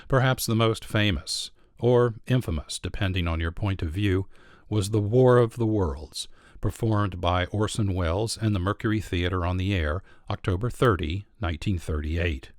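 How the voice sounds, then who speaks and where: Deep male narrator, very dry sound. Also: normal speed, no room sound. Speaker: narrator, host. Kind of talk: scripted documentary narration.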